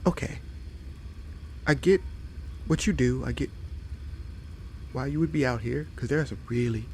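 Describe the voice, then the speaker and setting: A man's voice: mid-range voice, darkish tone, a little hiss or hum, natural voice. A man playing a friendly, curious fox-boy monster in a roleplay audio drama, hesitant and apologetic as he addresses the listener. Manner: hesitant, halting, apologetic, wide-eyed.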